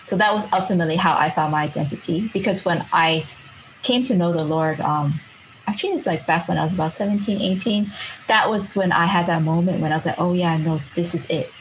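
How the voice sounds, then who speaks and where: A chatty young woman from Singapore with a lowish voice tells her story in a loose, reflective way, leaning on filler words and breaking into laughter. A faint hum of room ambience sits behind her. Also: brisk, low-quality recording. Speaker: podcast guest.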